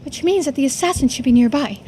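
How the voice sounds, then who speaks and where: A woman's voice: high voice, fast, mellow, a little hiss or hum, close to an everyday voice. A woman voicing an anxious, self-doubting young woman in a visual novel game, talking to herself emotionally. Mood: uneasy, pondering. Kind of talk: dramatic voiced reading of visual novel game dialogue.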